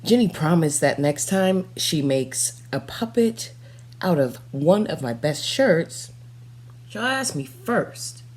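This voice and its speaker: A young woman reading a children's storybook aloud, narrating expressively in the first person with dramatic pauses. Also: sits low, very animated, a little mellow. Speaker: storybook reader. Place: small furnished room at home.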